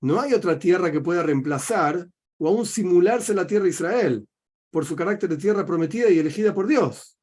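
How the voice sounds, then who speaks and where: A man's voice: sits high, a little mellow. A middle-aged man with an Argentine accent, a rabbi giving a religious teaching talk, explaining by asking himself questions and answering them. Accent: Argentine Spanish.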